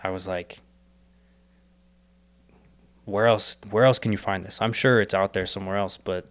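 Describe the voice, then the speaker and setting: A man's voice: low-pitched, smooth. A young man, a podcast guest, talking earnestly and rambling with many fillers and restarts, his voice a little worn as he is losing it. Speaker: podcast guest, startup co-founder. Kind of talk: casual reflective interview talk.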